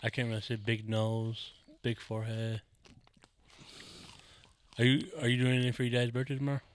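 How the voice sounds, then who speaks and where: A man's voice: mid-range voice, flat delivery, brisk, clean tone. A young man co-hosting a casual podcast, laid-back and teasing, often opening with "yo.". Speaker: podcast co-host. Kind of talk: casual banter.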